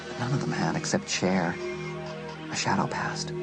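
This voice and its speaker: A man's voice: deep voice, normal speed. A man narrating a horror audiobook in a suspenseful, dramatic storytelling manner. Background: loud music.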